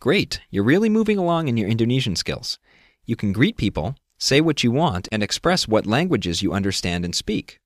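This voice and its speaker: A man's voice: mid-range voice, big pitch swings, moderate pace, no echo, clean studio sound. A man hosting a scripted language lesson, explaining step by step and prompting the listener with questions. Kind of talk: scripted instructional narration.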